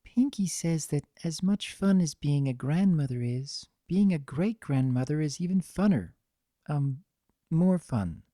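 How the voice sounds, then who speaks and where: A reader voicing a gentle but firm aging female pony in a fan fiction reading, earnest in manner. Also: mid-range voice, lively intonation, unhurried, no echo, clean studio sound, close to an everyday voice. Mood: chatty.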